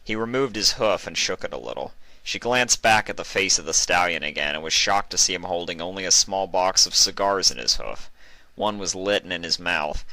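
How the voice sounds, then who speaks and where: A man's voice: deep voice, animated, normal speed, pushed and bright, no echo, poor audio. A man reading scripted narration of a western story as an audiobook-style narrator. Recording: music behind the voice.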